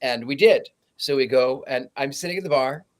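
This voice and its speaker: A man co-hosting a casual podcast, telling animated anecdotes with many halting restarts. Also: medium pitch. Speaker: podcast co-host. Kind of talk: casual chat, storytelling.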